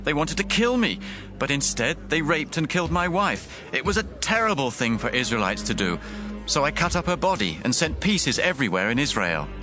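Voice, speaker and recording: A man's voice: fairly high pitch, very animated, moderate pace, over music. A man acting a grieving, wronged husband addressing his people solemnly in a dramatized audio Bible. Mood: grave, aggrieved. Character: grieving wronged husband pleading before the assembly of Israel.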